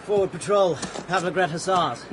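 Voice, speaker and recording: Actor as high-voiced, spirited hussar officer. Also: male voice, heavy background noise, lightly acted. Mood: brisk command. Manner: brisk, commanding. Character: spirited young hussar officer, brisk and defiant. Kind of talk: radio drama acting.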